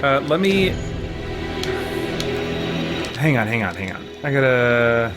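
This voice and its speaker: A man's voice: mid-range voice, sing-song, normal speed. A man giving casual gameplay commentary, thinking aloud in a hesitant way. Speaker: let's play host. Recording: game music behind the voice. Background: game music.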